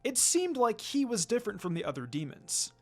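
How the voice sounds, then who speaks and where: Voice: medium pitch, huge pitch swings, brisk. A narrator reading a scripted fan fiction story aloud.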